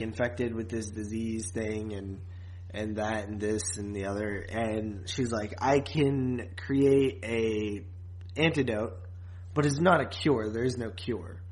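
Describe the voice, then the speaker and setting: A man's voice: mid-range voice, low-quality recording. A man co-hosting a podcast, chatting casually about a series in a slangy, conversational way. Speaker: podcast co-host. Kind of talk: casual chat reviewing a TV series.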